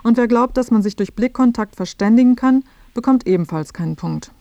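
A woman's voice: on the high side, lively intonation, brisk, mellow, dry recording, dull audio. A woman playing a dry, matter-of-fact presenter, reading scripted narration for a satirical radio feature.

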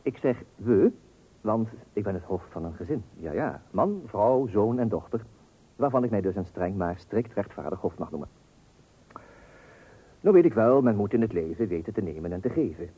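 A man's voice: on the low side, expressive, normal speed, dark tone, slightly rough. An actor playing a pompous, thrifty father who makes speeches in an old radio comedy, with a Netherlands Dutch accent. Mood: pompous, self-satisfied. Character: pompous, thrifty, self-important husband and father. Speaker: actor playing the narrator of a family comedy serial. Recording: muffled old radio recording.

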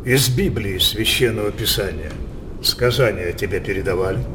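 An actor playing a brooding older king obsessed with his daughter, declaiming theatrical verse in a halting, impassioned manner for a radio play. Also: fairly low pitch, background noise, lightly acted. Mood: insinuating, persuasive.

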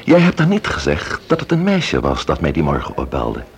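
An actor playing a shrewd, courteous police investigator in a Dutch radio play, speaking deliberately and questioning with emphasis. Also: male voice, extremely low pitch, huge pitch swings, full character voice. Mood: explaining.

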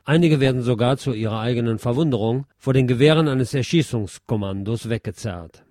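A man presenting a scripted film review as a radio host, measured and articulate in his delivery. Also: medium pitch, warm tone, dry recording, clean studio sound.

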